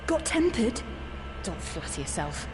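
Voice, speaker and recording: A woman's voice: lowish voice, very animated, unhurried, background noise. A woman voicing a bitter, scornful young female soldier in a video game, sardonic, blunt and taunting. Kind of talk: dramatic video game voice acting.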